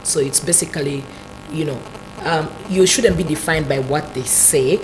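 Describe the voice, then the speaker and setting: A Ugandan woman with a deep, low voice talks through her ideas on a talk show, measured and explanatory. She keeps adding "you know" as she thinks aloud. A clear hum of noise sits under her voice. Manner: thoughtful, explanatory, many "you know" asides. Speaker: guest.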